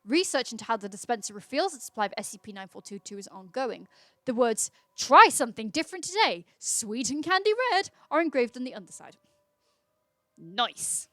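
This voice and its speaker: A woman's voice: a little high, very animated, fairly quick, pushed and bright, dry recording. A woman hosting a livestream, chatting casually and reading aloud informally, with small stumbles and self-corrections. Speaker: livestream host.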